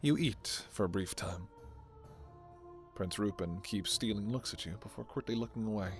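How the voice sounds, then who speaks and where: A man's voice: fairly low pitch, moderate pace, soft background music. A man delivering scripted second-person narration for a fantasy story, as its narrator. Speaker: narrator and voice performer.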